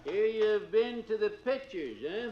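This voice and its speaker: Highish-voiced folksy gas station man. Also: animated, measured pace, muffled recording, slight background noise. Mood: friendly. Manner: easygoing small-town chattiness. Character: friendly, folksy male gas station attendant. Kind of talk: 1940s-50s radio drama acting.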